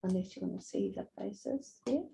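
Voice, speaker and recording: Very dark, creaky New woman, muffled. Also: mid-range voice, normal speed, slight background noise. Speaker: presentation helper. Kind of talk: casual talk while setting up slides. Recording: muffled, dull meeting audio.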